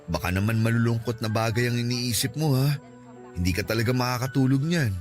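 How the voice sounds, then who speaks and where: A man's voice: mid-range voice, a little fast, natural voice. A man playing a devoted, protective young boyfriend in a sentimental radio romance drama, earnest and emotional. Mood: caring. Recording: music under the voice.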